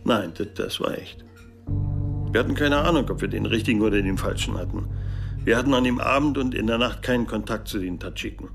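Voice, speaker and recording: An actor playing a resolute special-forces leader in a German radio drama, speaking in a terse, matter-of-fact way. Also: male voice, lowish voice, brisk, gravelly. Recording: music behind the voice.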